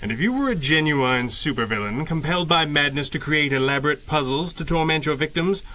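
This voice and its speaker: An actor playing a wry, authoritative superhero leader in 1930s-style radio adventure, speaking in measured, dryly witty lines. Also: male voice, on the high side, lively intonation, background noise, natural voice. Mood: wry, assured. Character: masked male superhero leader, calm, wry, commanding mastermind.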